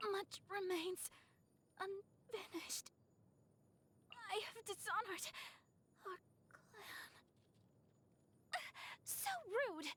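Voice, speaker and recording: A woman voicing a gentle, reserved young noblewoman and swordswoman for an anime fantasy game, speaking with formal, poised politeness. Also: extremely high pitch, heavily acted. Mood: battle cries.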